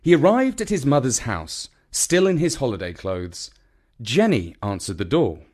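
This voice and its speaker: A man narrating a scripted children's story in a dramatic, expressive manner. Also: mid-range voice, normal speed.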